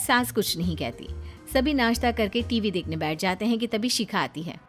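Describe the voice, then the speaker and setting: A woman's voice: mid-range voice, expressive, moderate pace, soft background music. A woman storyteller giving scripted narration for a cartoon family tale.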